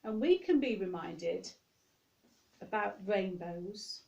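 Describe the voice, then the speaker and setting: A woman's voice: mid-range voice, animated, slow, gravelly, darkish tone. A woman reading a picture-book story to young children, expressively, with chatty asides spoken straight to the listeners.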